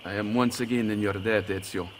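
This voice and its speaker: A man playing an expressive in a Renaissance video game drama. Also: lowish voice, a little fast, slight background noise, natural voice. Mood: earnest.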